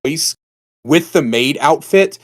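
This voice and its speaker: A man's voice: fairly high pitch, animated, takes it slowly. A man in casual podcast conversation, blunt and sweary, given to emphatic exaggeration. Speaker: podcast participant.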